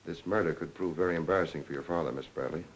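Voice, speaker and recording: A man's voice: deep voice, quick pace. A man playing a curt, businesslike police lieutenant in a 1940s crime film, with a clipped, authoritative manner. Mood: cool, probing. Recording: noisy old 1940s film soundtrack.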